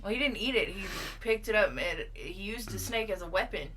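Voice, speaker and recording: A woman's voice: mid-range voice, lively intonation, brisk, fairly bright tone, slight background noise. A woman casually telling her story as an eyewitness in an improvised comedy interview, insistent and matter-of-fact. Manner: insistent, matter-of-fact about absurd claims. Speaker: mock eyewitness being interviewed.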